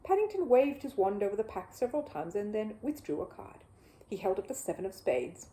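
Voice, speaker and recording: A woman narrating a children's story as an animated audiobook reader. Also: mid-range voice, brisk.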